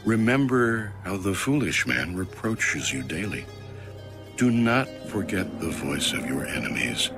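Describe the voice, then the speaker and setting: Deep-ish guy reading bible verses real slow, solemn n dramatic, music behind him. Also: big pitch swings. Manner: measured, dramatic, formal. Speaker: audio Bible narrator. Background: background music.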